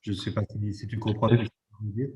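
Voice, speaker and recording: A man's voice: lowish voice, level tone, quick pace, mellow, highs cut off. A man talking casually as an interviewer, a little tentative as he works out his thoughts. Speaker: interviewer, channel host.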